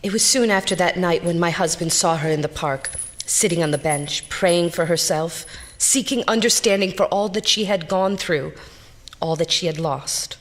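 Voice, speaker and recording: A woman's voice: lowish voice, normal speed, slight background noise, natural voice. An actress playing a grave, dignified lady who solemnly tells a ghost story in a period audio drama.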